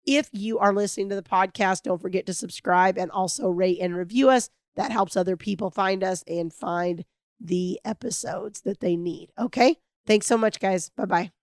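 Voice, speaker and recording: A middle-aged woman hosting a podcast alone, talking in an earnest, reflective and hopeful way, her voice raspy from a cold. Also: medium pitch, moderate pace, a little mellow, dry recording, studio quality. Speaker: podcast host. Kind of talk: heartfelt solo podcast monologue.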